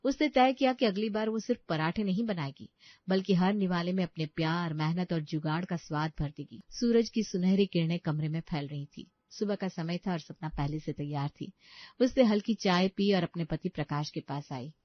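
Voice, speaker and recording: A woman's voice: on the low side, normal speed, no room sound, muffled recording. Woman narrating a story, dry sound. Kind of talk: scripted bedtime story narration in Hindi.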